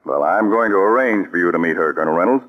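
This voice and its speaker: A man's voice: sits low, animated, fast. An actor playing a firm, authoritative male spy chief in a 1940s radio drama. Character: commanding male chief of counterspies. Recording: dull old radio broadcast.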